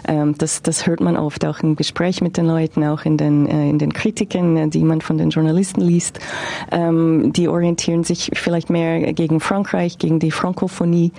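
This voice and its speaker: A woman speaking German as a second language, giving measured interview answers with frequent fillers. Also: low voice, level tone, gravelly, mellow. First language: not German. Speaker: interview guest, film observer.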